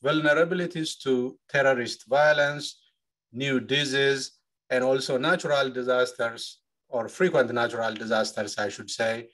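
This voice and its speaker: A middle-aged man from Ethiopia, an engineering professor, presenting slides in a webinar in a measured way with frequent "uh" pauses. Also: medium pitch, a bit bright, poor audio.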